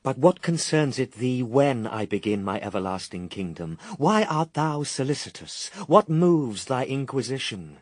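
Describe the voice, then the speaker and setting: A man's voice: medium pitch, big pitch swings, no echo. An actor playing the Son of God as a grave, patient and steadfast man, declaiming solemn verse in a radio drama. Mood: patient, firm. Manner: measured, declamatory, morally firm.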